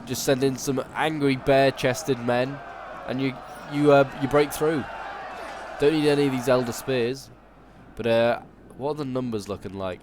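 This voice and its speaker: A man's voice: medium pitch, noisy background. A man with a cold, sounding snuffly, casually commentating a battle replay with wry asides and frequent ums. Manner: chatty and wry, with frequent ums and self-corrections. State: stuffy with a cold. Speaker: game channel host commenting a battle replay.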